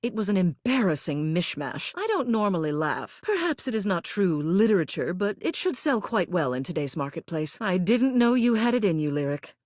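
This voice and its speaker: A woman's voice: lowish voice, big pitch swings, moderate pace, hardly any pauses, darkish tone, dry recording, clean studio sound. A woman voicing a pompous older lady mayor, a living household object in a video game, who speaks in a grand, formal, theatrical manner. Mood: snobbish, amused. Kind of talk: scripted video game character lines.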